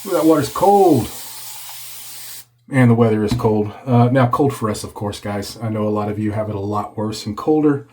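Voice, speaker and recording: A man hosting a casual shaving video, congested and a bit hoarse from a cold, chatting as he lathers. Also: fairly low pitch, normal speed, a little mellow. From Texas. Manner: chatty, reads product blurb aloud. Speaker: shaving channel host. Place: home office.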